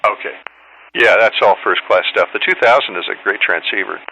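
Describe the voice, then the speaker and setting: A man's voice: on the low side, brisk, pushed and bright, lo-fi sound, slight background noise. A man in a casual ham radio conversation, chatting informally and explaining technical details. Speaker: host, amateur radio operator in contact.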